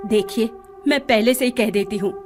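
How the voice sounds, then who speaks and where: A woman playing a suspicious, scornful, money-minded wife in an audio drama, with a sharp, contemptuous manner. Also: fairly high pitch, moderate pace, faint music behind, lightly acted. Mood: scornful, bossy. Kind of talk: Hindi audio drama acting.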